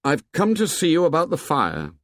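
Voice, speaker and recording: Storybook boy leader played by a man: high, loud, indignant, urgent, accusing. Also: huge pitch swings, normal speed, no echo, studio quality, exaggerated voice. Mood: firm. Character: defiant, indignant young boy chief, breathless. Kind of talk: audiobook character acting.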